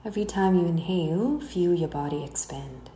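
A woman leads a guided relaxation in a dark, mellow voice. She speaks unhurriedly and soothingly, letting phrases trail off at the end. The recording has a noticeably roomy echo with a faint layer of noise behind her. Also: fairly low pitch, normal speed. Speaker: guided meditation instructor. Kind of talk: slow guided relaxation narration.

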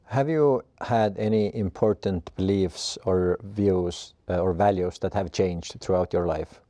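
A man interviewing a guest, asking slow, measured questions. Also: low voice, dark tone, no echo.

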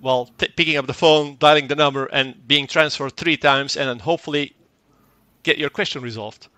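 A man whose first language is Dutch explains a technical product in an interview. His voice is bright and forward, and the sound is dry and close. He talks fluently but doubles up words and acts out short exchanges. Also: fairly high pitch, fairly even intonation, fairly quick, no echo. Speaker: tech company guest.

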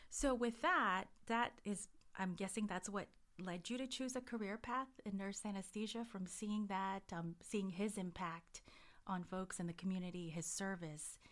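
A woman's voice: medium pitch, fairly even intonation, moderate pace, a bit bright. A woman hosting a podcast interview, reading a scripted introduction and then asking questions conversationally. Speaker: podcast host.

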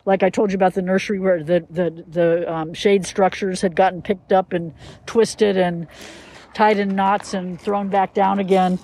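A woman's voice: mid-range voice, fairly even intonation, moderate pace, mellow, low-quality recording. A woman who works at a garden, talking conversationally in an interview outdoors. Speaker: botanic garden staff interviewee. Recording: faint outdoor ambient noise.

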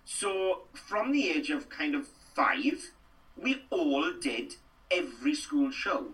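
Welsh man, middle-aged, actor guest, slightly high voice, restarts a lot. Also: slow, far from the mic, poor audio. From Wales. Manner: animated, rambling storyteller with lots of restarts. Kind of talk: chatty interview answers.

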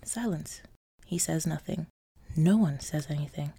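A woman from Nigeria hosts her own podcast, telling a scripted story in a low, mellow voice. Her tone is wry and sardonic, with theatrical emphasis and soft laughs slipping in. A gravelly edge runs through her speech. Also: measured pace. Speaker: podcast host.

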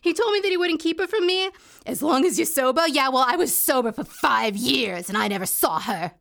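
An actress playing an incarcerated mother who talks in a halting, weary way with wry jokes. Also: high voice, lively intonation, gravelly, a bit bright, exaggerated voice. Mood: bitter. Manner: halting, weary, wryly joking. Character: incarcerated mother fighting to see her kids. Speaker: inmate. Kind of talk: audio drama acting.

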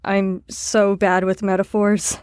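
A woman's voice: medium pitch, level tone, takes it slowly, darkish tone, smooth, no echo, clean studio sound, close to an everyday voice. A woman in an audio drama playing an earnest, caring facility staffer who talks in a reassuring, sincere, slightly rambling way. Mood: earnest, encouraging.

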